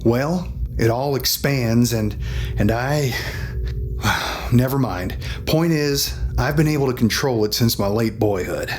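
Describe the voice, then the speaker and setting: An actor playing an older, haunted alien officer in a science fiction audio drama, speaking haltingly with sighs, music underneath. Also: male voice, mid-range voice, lightly acted. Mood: weary, guilt-ridden. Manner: halting, sighing, confessional. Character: gruff, haunted grandfather alien cowboy officer with stone-plated skin.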